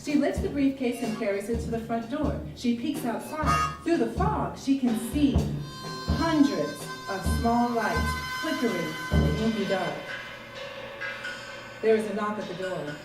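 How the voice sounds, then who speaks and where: Woman, theatrical story reader, expressive, deliberate, distant live recording, loud live music. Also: a little high, very echoey. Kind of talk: dramatic literary story reading with character voices. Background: live guitar, brass and percussion music.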